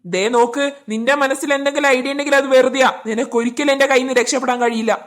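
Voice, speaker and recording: A woman's voice: sits high, steady tone, rapid-fire, lightly acted. A woman voicing a threatening male kidnapper boss who barks impatient orders in a cartoon dub. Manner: threatening, commanding. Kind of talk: amateur cartoon dub in Malayalam.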